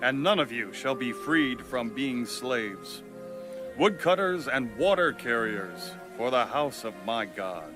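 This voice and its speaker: Slow, stern commanding leader. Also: male voice, mid-range voice, sing-song, music in the background. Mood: stern, accusing. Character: stern, commanding male Israelite leader, grave and accusing. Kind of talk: dramatized scripture reading with music.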